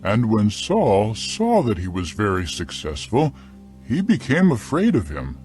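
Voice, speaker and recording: A man narrating a dramatized scripture account in a measured, deliberate storytelling style. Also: lowish voice, wildly swooping pitch, a little mellow, faint music behind.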